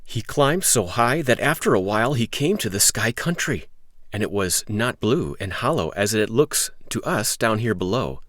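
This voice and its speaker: A man hosting a read-aloud, telling a story to young children in an expressive, emphatic way. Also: lowish voice, normal speed, dry recording.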